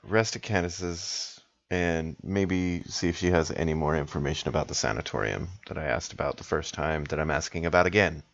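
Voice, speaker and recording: A man's voice: extremely low pitch, normal speed, hardly any pauses. A man taking part in a tabletop role-playing game as a player, talking casually and thinking aloud, with slightly dull home-studio sound.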